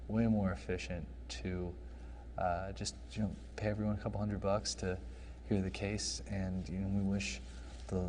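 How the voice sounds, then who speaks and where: A man's voice: sits low, a little mellow, slight background noise. A man hosting a comedic talk show, telling rambling, dry stories full of fillers. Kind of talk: soft-spoken comedic talk show chat.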